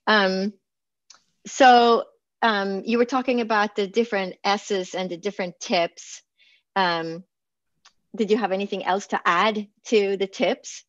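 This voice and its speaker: A woman's voice: mid-range voice, fairly even intonation, unhurried, no room sound. A woman hosting an interview, affirming her guest and asking questions with frequent ums. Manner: warm affirmations, hesitant with many fillers. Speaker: interview host. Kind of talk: interview conversation. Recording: dry, dull lo-fi audio.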